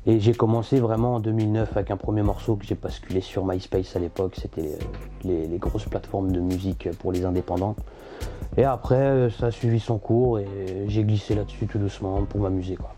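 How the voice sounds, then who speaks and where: Young man, rapper guest, mellow dark voice, relaxed and hesitant, faint music behind. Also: fairly low pitch, fairly quick. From France. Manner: relaxed, hesitant with many fillers. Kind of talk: casual interview chat.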